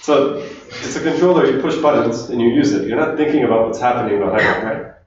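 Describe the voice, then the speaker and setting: A man from Finland giving a relaxed technical talk to a meetup audience, chatting with the crowd. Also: medium pitch, fairly quick. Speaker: conference speaker. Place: large echoey meetup room. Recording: distant, roomy, dull room recording.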